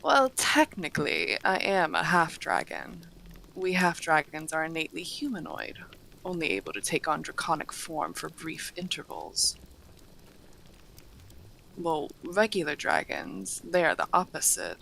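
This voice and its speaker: A woman's voice: low-pitched, very animated, vocal fry, bright, forceful tone, a little hiss or hum, heavily acted, poor audio. A woman playing a courteous, refined half-dragon hostess in a fantasy roleplay, speaking formally with dry teasing. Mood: explaining, proud. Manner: genteel, formal, unhurried wording with dry teasing. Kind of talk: fantasy roleplay audio acting, addressing the listener.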